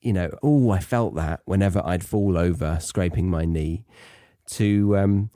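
A man's voice: deep voice, measured pace, warm tone, no echo, clean studio sound. A British man hosting an educational English podcast, talking in a chatty, conversational and wry way. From Britain. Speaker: podcast host and English teacher.

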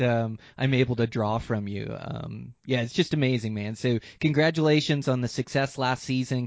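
An American man hosting a podcast, talking casually in a rambling, informal way, tired and a little hoarse. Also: mid-range voice. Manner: rambling, informal, hesitant phrasing. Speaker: podcast host. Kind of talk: casual podcast outro monologue. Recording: echoey room, slightly dull sound.

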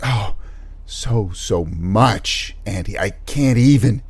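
An actor playing a gentle, flustered male scientist in an audio drama, hesitant, stammering and soft-spoken. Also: fairly low pitch, slow pace, husky, heavily acted. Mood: flustered, stunned.

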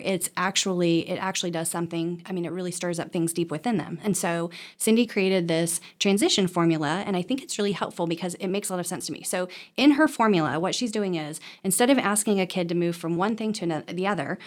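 A woman explaining in a conversational way as a counselor being interviewed, often checking in with "right?" and slipping into example dialogue. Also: lowish voice. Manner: animated self-talk, checks in with "right?". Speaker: counselor or therapist, interview guest.

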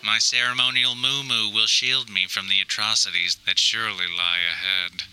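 A man's voice: on the low side, steady tone, normal speed, bright, forceful tone, clean tone, soft background music, low-quality recording. A man voicing a possessed mother and religious zealot in an audio drama, theatrically preaching doom with whispers and shrieks. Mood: fanatical, menacing. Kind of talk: dramatic audio diary monologue.

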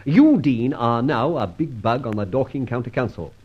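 An actor playing a suave, teasing gentleman adventurer in an old radio serial, pompous and flippant with mock courtesy. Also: medium pitch, expressive, normal speed, slightly rough. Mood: teasing, commanding. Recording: dull old radio recording.

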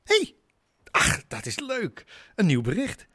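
An awkward, nervously cheerful middle-aged newcomer to the family in a radio drama. The delivery is slow and hesitant, at a medium pitch, eager to please. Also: female voice, huge pitch swings, a bit bright, breathy edge, heavily acted. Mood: reassuring, breezy. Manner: halting, hesitant, with nervous chuckles.

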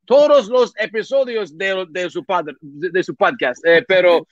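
A man whose first language is English, speaking with a slightly raised pitch and pausing often to search for words. He restarts phrases and fills gaps with soft hesitations. The audio is dull and dry, like a remote call. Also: no room sound. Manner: halting, many restarts and filler sounds. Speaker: podcast guest, baseball reporter. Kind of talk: casual interview talk in a second language.

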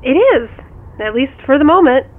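An actress playing a scientist's teasing sister in an audio drama, speaking over a phone line. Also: female voice, high voice, sing-song, moderate pace. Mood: teasing, curious. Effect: phone filter. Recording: thin tinny phone line.

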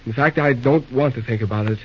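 An actor playing a glum, shyly lovesick young railroad boss in an old-time radio drama, speaking in a hesitant, folksy, husky way. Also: male voice, medium pitch, natural voice. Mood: shy, tender. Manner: hesitant, folksy, halting delivery. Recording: dull, lo-fi old radio recording.

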